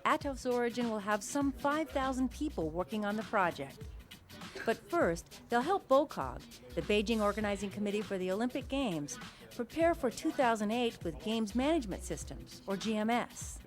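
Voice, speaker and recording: A woman reading a scripted news voice-over with a crisp, lightly wry delivery. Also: fairly high pitch, big pitch swings, moderate pace. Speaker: news reporter. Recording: voice-over with faint music behind.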